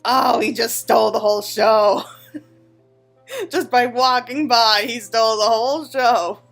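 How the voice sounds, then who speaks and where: A woman hosting a casual game playthrough in an excitable, gushing manner. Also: on the high side, slow pace, creaky. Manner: excitable, gushing, reads game dialogue aloud. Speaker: gaming channel host. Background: game music.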